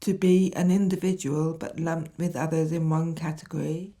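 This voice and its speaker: A woman speaking reflectively and haltingly in a documentary, with deliberate pauses. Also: low-pitched, little pitch movement, mellow. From England. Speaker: deaf poet. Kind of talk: reflective spoken documentary, with read poetic lists.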